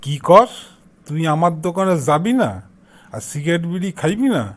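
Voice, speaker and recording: A man's voice: on the high side, moderate pace, lightly acted. A man playing a crafty, pushy shopkeeper in an amateur comic cartoon, scolding and badgering in an eastern Bengali accent. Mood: threatening. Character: pushy, crafty male shopkeeper demanding unpaid money.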